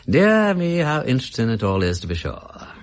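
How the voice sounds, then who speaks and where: An actor in a radio drama playing a witty, mocking British gentleman adventurer posing as a shady informer, with languid, teasing, flourishing speech. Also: lowish voice. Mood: amused. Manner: arch, languid, teasing, flourishing turns of phrase.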